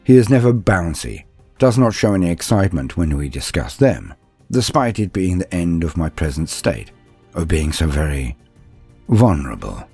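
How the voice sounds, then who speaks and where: A man narrating a scripted dramatic story in the first person, measured and pausing mid-sentence. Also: deep voice, sing-song, mellow, breathy edge, faint music behind.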